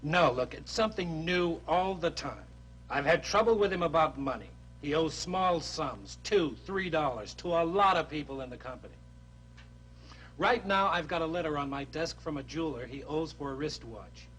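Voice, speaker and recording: A frustrated army captain lists his troubles in a weary, exasperated way. His voice sits fairly high for a man. A faint hiss lies under the old film sound. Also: expressive, normal speed, fairly bright tone, low-quality recording. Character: frustrated male army captain, company commander. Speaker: company commander. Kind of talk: 1960s educational film acting.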